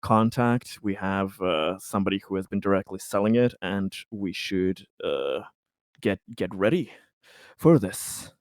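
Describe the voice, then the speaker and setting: Low-voiced man, halting, in a dry room. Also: expressive, no room sound. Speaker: player in a tabletop roleplaying game. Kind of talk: improvised casual game talk, narrating his character.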